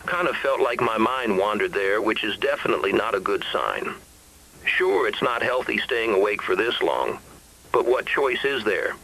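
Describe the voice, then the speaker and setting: Brooding, sleepless man acting a despairing survivor, bright-edged voice. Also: fairly low pitch, expressive, normal speed, gravelly, full character voice, poor audio. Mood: bitter, grim. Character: weary, despairing man isolated by a sleepless epidemic. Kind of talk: confessional recorded monologue in an analog horror audio drama.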